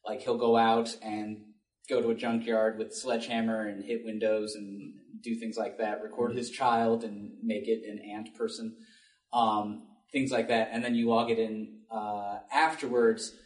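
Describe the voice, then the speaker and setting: A man's voice: fairly low pitch, level tone, moderate pace. Casual man on a panel, distant mic. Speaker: panelist, audio drama podcast maker. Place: public library panel room. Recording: roomy library panel, off-mic.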